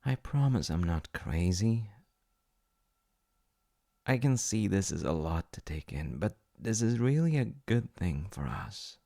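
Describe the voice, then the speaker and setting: A man playing a polite, eager wizard fiance in an ASMR audio roleplay, with theatrical delivery and soft muttered asides. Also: low voice, very animated, a little slow, gravelly, heavily acted. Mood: flustered, reassuring. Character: eager, secretly magical wizard fiance running an enchantment business.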